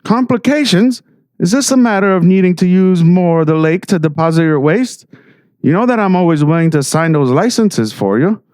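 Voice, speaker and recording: A man's voice: sits high, animated, moderate pace, darkish tone, dry recording, clean studio sound. A man playing a self-important, scheming small-town mayor in an audio drama, with a pompous, ingratiating way of talking. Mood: concerned.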